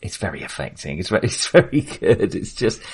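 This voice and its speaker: A male podcast co-host with a lowish voice talks informally about cinema. His delivery is halting, as if he is working out each thought while he speaks. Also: very animated. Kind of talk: casual film discussion.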